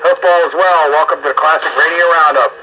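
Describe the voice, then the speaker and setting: Male, somewhat high pitch, casual radio net host, noisy two-way radio sound. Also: fairly even intonation, brisk, highs cut off.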